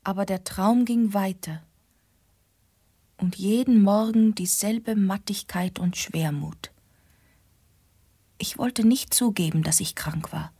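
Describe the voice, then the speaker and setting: An actress playing a melancholy, ailing young gentlewoman who tells her gothic story in a radio drama. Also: lowish voice, breathy edge, no echo, clean studio sound. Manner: hushed, languid delivery. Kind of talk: German radio play acting and first-person narration.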